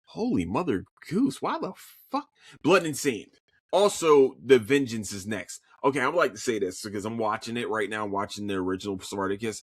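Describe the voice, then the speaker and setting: Animated Philly man reviewing shows. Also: on the low side, normal speed, breathy edge, no room sound, studio quality. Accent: Philadelphia. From Philadelphia. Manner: animated, swears freely, frequent restarts and stammered repeats. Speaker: podcast host. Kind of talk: casual solo review chat.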